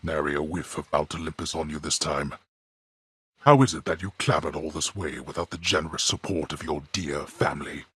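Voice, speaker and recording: An actor playing a grim, imperious god of the dead and stern father in a video game, with a husky, gravelly voice and formal, archaic, menacing delivery. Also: very deep voice, a little fast, a bit bright, no room sound, clean studio sound, heavily acted. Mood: cold disdain. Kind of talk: theatrical video game villain acting.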